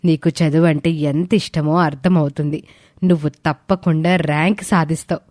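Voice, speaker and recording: A woman voices a kindly female hostel warden in an audio story. The voice is slightly low and dry, with a motherly, encouraging manner. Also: steady tone, moderate pace, a little mellow, no room sound, lightly acted. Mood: concerned, admiring. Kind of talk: scripted audio story dialogue.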